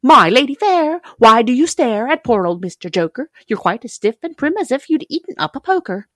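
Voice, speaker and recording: A woman's voice: fairly high pitch, big pitch swings, brisk, a bit bright, no room sound, clean studio sound, pitched above the performer's own voice. A woman voicing a jolly, saucy china clown who teases in rhyme, in a storybook reading. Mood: saucy teasing. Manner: saucy, rhyming, teasing.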